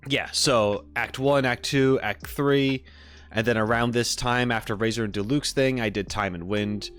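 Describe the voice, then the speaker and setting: A man with a mid-range voice chats casually as a live streamer. He rambles in an easygoing way, thinking out loud as he goes. Music plays behind him. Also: medium pitch, fairly even intonation, moderate pace, clear, resonant tone. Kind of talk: casual livestream chat. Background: background music.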